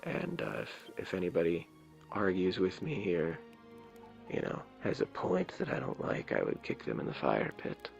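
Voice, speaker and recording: A man with a low raspy voice giving rambling, playful ASMR commentary as he plays a video game. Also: huge pitch swings, moderate pace, faint music behind. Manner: rambling, playful, jokingly self-important. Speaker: game streamer. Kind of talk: ASMR let's play commentary.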